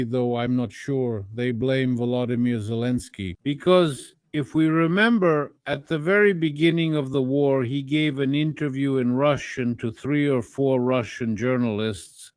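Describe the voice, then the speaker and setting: A man in a political discussion, explaining his view in a measured way with qualifiers. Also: medium pitch, darkish tone, clean tone, dry recording, clean studio sound.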